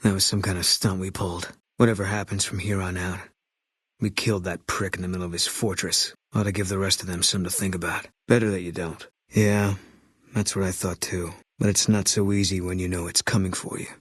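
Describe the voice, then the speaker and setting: A haunted ex-sniper companion in a video game, who talks in short, guarded sentences. His voice is very low and husky, recorded dry and close with no room around it. His delivery is flat and curt, like a soldier who would rather not talk. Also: a little fast, dry recording. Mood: grim. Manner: terse, clipped, flat and guarded. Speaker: companion character. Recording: dry studio sound.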